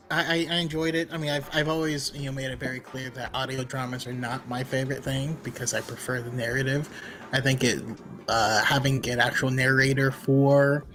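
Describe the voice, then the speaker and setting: A man giving a casual review as a podcast panelist, halting and repeating words as he goes. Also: on the high side, fairly bright tone. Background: background music.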